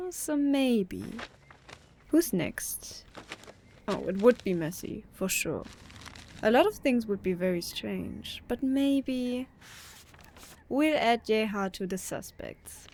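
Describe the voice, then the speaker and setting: A woman acts a teenage daughter in an audio drama. She is thoughtful and a little teasing, speaking at an ordinary female pitch. There is noticeable background noise behind her. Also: darkish tone.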